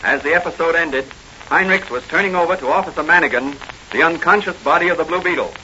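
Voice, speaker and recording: A man's voice: on the high side, fast, pushed and bright. A male announcer reading scripted narration in a dramatic, declamatory 1940s radio serial style. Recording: muffled, noisy old-time radio recording.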